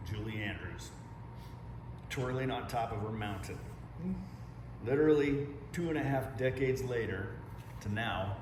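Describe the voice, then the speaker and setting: A man giving a slow, reflective sermon in a conversational tone with casual swearing. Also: medium pitch. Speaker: pastor. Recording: roomy, distant mic with room noise.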